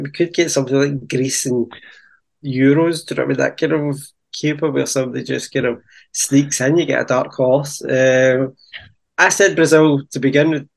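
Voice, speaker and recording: Man, gravelly voice, hesitant, casual podcast chat. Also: medium pitch, steady tone, normal speed. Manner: hesitant, thinks aloud with restarts. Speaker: podcast co-host.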